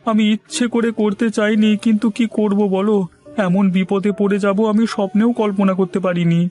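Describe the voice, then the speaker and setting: Cartoon role: man playing a teary, timid servant, high-voiced, begging, faint music bed. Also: little pitch movement, a little fast, a little mellow, close to an everyday voice. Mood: pleading. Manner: pleading, whimpering, addressing master as babu. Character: timid tearful male servant, middle-aged. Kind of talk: Bengali cartoon voice acting.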